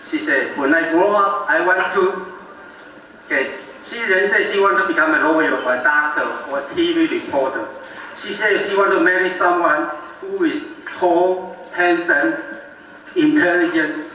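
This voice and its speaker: A man's voice: fairly high pitch, pushed and bright. Older Taiwanese-accented father giving a wedding toast. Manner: slow, halting, self-deprecating humor. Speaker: father of the bride. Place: large banquet hall. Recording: echoey banquet hall from far off with faint background noise.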